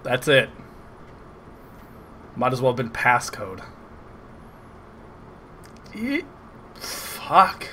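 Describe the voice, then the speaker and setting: A man giving casual, excitable, sarcastic commentary over a video game playthrough, swearing often. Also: medium pitch, extremely slow, long pauses, slight background noise. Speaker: gameplay commentator. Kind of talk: casual let's play commentary.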